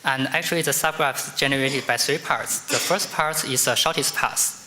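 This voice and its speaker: A man answers audience questions at a conference podium microphone. His voice is bright and sharp, and he answers in halting bursts with many fillers. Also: mid-range voice. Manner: halting, with repeated fillers and restarts. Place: large conference hall.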